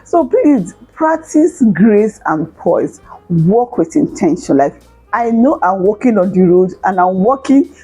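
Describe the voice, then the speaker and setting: A woman's voice: medium pitch, animated, measured pace, dark tone, breathy edge, soft background music, rough recording. A woman in her thirties chatting earnestly to camera, giving lifestyle advice with a teasing, laughing manner. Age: 30s. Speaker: lifestyle vlogger. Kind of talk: casual advice monologue to camera.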